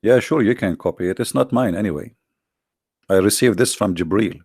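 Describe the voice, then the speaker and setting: Host, fairly low voice, dry close sound, scornful and emphatic delivery. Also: male voice, fairly quick, gravelly, a little mellow, breathy edge, dry recording. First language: Arabic. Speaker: online debate host. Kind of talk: combative commentary to viewers.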